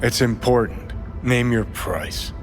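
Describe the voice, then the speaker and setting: Cyberpunk game rocker , male actor: brash, impatient, sardonic, medium pitch, prominent music underneath. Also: takes it slowly, close to an everyday voice. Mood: urgent, curt. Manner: sardonic, swaggering, curt. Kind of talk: video game drama acting. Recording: game audio with music behind.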